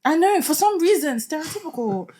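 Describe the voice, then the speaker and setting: A woman's voice: high voice, a little fast. A young woman from Nigeria, a podcast guest, telling stories in casual chat with lots of laughter and joking. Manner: animated, laughing storyteller who jokes.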